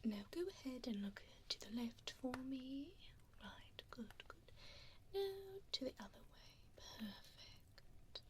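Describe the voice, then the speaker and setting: Woman playing a slow-whispering, mean vampire lady. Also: high voice, sing-song, full character voice. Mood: doting, focused. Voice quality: breathy whisper. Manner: condescending, softly menacing, teasing, deliberate. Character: evil mean female vampire preparing a human as meal. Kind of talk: ASMR roleplay. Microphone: close binaural 3Dio microphone.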